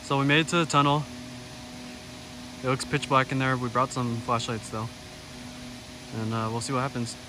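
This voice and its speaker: A young man casually narrating a cycling trip to a handheld camera outdoors, talking in a relaxed, hesitant way with many fillers. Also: medium pitch, moderate pace, long pauses, over music, poor audio. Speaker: vlogger. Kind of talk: casual travel vlog narration. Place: outdoors on a mountain trail and in a dark tunnel. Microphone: camera held at arm's length. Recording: loud outdoor background noise.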